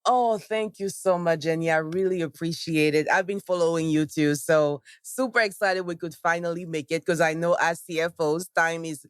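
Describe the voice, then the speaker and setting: A woman's voice: fairly low pitch, fairly even intonation, normal speed, hardly any pauses, clear, resonant tone, no room sound, studio quality. A woman hosting a podcast interview in an upbeat, enthusiastic way. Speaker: podcast host.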